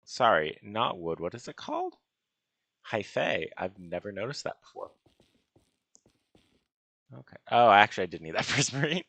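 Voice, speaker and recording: Gravelly low-voiced man thinking aloud. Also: wildly swooping pitch, moderate pace, long pauses, fairly bright tone, no room sound. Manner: hesitant, musing, talks to himself. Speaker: gaming video host. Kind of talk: casual Minecraft building commentary, thinking aloud.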